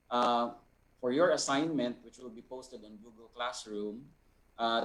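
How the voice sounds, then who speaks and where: Male subject expert, average-pitched voice, explanatory and measured, breaking phrases as he thinks. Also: low-quality recording. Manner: measured, deliberate, pausing mid-phrase. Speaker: resource person, art instructor. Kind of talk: explanatory answer to a question.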